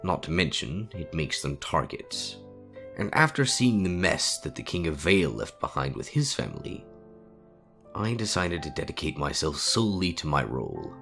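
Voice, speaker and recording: A man voicing a calm, wise older wizard headmaster in a story reading, measured and reflective. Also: rumbling low, gravelly, faint music behind, full character voice. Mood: grave. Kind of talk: dramatic fan fiction audio reading.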